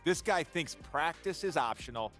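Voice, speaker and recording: An earnest level-headed pundit. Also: male voice, on the high side, animated, a bit bright, soft background music. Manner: measured, earnest, stammering when flustered. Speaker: sports TV debate pundit. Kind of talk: video game cutscene acting.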